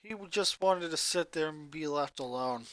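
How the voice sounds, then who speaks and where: Bright-voiced fanfiction reader. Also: mid-range voice, animated, moderate pace, no echo, clean studio sound. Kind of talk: reading fanfiction aloud.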